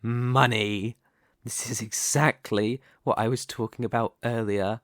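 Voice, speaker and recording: Man acting a slow, possessive mafia boss. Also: mid-range voice, steady tone, a bit bright, dry recording, studio quality, lightly acted. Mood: condescending. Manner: theatrical, deliberate, condescending, with pointed pauses. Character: possessive, ambitious young male mafia boss, coolly persuasive. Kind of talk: ASMR roleplay, dramatic monologue to the listener.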